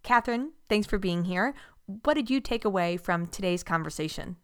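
Female podcast host asking questions, mid pitch, really dry clean room sound. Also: animated, normal speed, fairly bright tone, smooth, dry recording. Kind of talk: prepared podcast hosting and questions.

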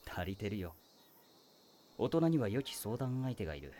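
An actor voicing a weary male high-school teacher who talks in a dry, wry, world-weary way. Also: sing-song, moderate pace, dark tone, slight background noise. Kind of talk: voice drama acting.

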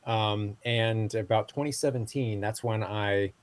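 Low-voiced man rambling through fillers. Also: measured pace, no room sound. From Southeast Asia. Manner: rambling, many fillers and restarts. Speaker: podcast guest. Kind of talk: casual interview talk.

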